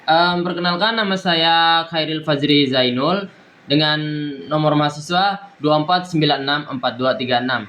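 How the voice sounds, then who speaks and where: A young man, a university student, giving a recorded exam presentation in a halting way with many fillers and restarts. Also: on the high side, pushed and bright. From East Nusa Tenggara, Indonesia. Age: young adult.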